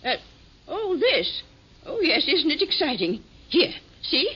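An actress playing a chatty, vain, hard-of-hearing old schoolteacher with a fluttery, tittering, genteel manner in a 1950s radio drama. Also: female voice, high voice, sing-song, takes it slowly, full character voice. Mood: cheerfully confused. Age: 60s. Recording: dull old radio recording.

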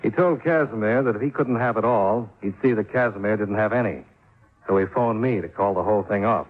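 An actor in a 1950s radio drama playing a wry, clipped, sardonic insurance investigator who also narrates. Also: male voice, on the low side, brisk, lightly acted. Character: wry, hard-boiled male insurance investigator narrating his case. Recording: tinny phone-like 1950s radio recording with music.

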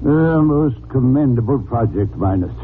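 An actor playing a haughty genius detective, dry, pompous and erudite, in a 1940s radio drama. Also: male voice, medium pitch, expressive, moderate pace, close to an everyday voice. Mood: sardonic. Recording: scratchy, narrow-band old radio.

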